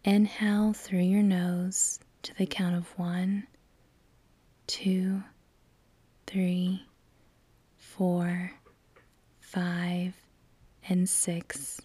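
Slow, breathy woman guiding meditation. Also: fairly low pitch, dry recording. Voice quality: soft, breathy, near-whisper. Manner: calm, soothing, measured with long pauses. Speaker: meditation guide. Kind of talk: guided meditation narration.

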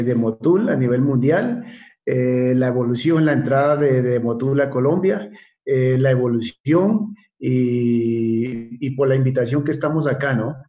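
A man in his fifties from Ecuador with an Ecuadorian accent, a guest telling his story in a video-call interview, halting and repeating words with many fillers. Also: medium pitch, dark tone. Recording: slightly dull video call audio.

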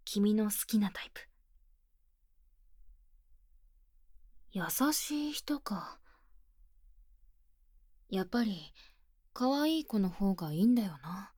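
A woman playing an earnest, shy, tomboyish senior high school girl in an ASMR voice drama, speaking plainly and hesitantly, often near a whisper, close on a binaural mic. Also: animated, a little slow, long pauses, darkish tone, breathy edge, no echo. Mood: shyly curious. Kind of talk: Japanese ASMR situation voice drama. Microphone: close binaural microphone, dry studio.

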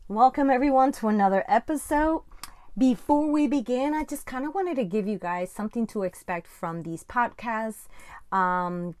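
A woman's voice: fairly high pitch, animated, moderate pace, a little mellow, dry recording, studio quality. A woman with a Spanish accent, a native Spanish speaker, hosts a casual Bible-teaching podcast in a conversational, earnest and emphatic way.

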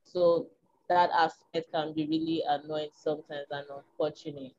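A Nigerian woman speaks in a small, slightly low voice, candid and reflective, working through her thoughts with soft ums. The recording is a bit muffled and dull at the top end. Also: flat delivery, normal speed, a crowd in the background. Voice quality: small, tiny voice. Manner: reflective, frank, with filler ums and soft laughs. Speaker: interview guest. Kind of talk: candid conversational chat.

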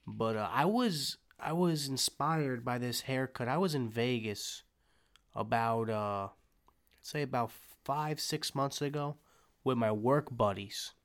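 A man's voice: mid-range voice, takes it slowly. A young man co-hosting a casual podcast, telling a rambling story full of swearing and "you know what I mean". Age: young adult. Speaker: podcast co-host. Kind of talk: casual chat.

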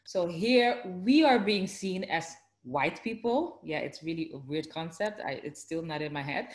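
A woman's voice: fairly low pitch, lively intonation, measured pace, reverberant. A woman with a Dutch accent, chatting casually in an interview with lots of fillers and restarts. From Netherlands.

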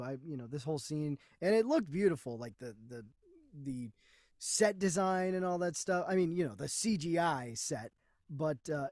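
A man's voice: on the high side, no echo, clean studio sound. A man co-hosting a movie review podcast, chatting casually with a wry, questioning manner. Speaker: podcast co-host.

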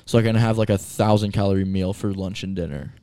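A man's voice: fairly low pitch, steady tone, quick pace, mellow. A young man co-hosting a podcast, talking about the gym in a laid-back way, with lots of filler words. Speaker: podcast co-host. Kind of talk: casual chat.

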